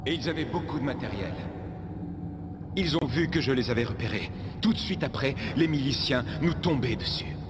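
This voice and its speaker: An actor voicing a plain-spoken, helpful working man in a French animated series. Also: mid-range voice, normal speed, lightly acted. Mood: earnest, helpful. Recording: very noisy background.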